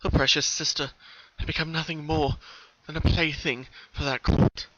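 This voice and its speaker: A man's voice: on the high side, level tone, moderate pace, pushed and bright, dry recording. A man giving a dramatic scripted narration as a fan fiction reader. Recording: dull, muffled home recording.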